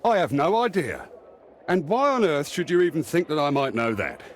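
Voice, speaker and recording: An actor playing a mocking, easily flustered police inspector with a British accent in a video game. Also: male voice, a little high, big pitch swings, moderate pace, a little hiss or hum. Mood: irritated. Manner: mocking, dismissive. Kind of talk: video game cutscene acting.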